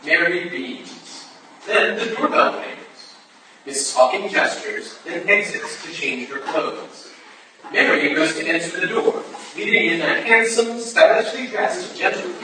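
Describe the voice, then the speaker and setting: A man's voice: high voice, lively intonation, unhurried. A man reading stage directions as scripted narration at a staged play reading, recorded from far off in a noisy, echoey hall.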